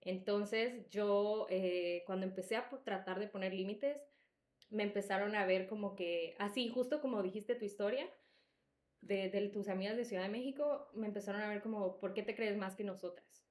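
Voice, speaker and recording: A young woman with a Salvadoran accent softened by Mexican, telling personal stories in a candid, emphatic, conversational way as a podcast host. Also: medium pitch, steady tone, normal speed. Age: young adult. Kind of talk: casual personal storytelling. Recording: echoey room.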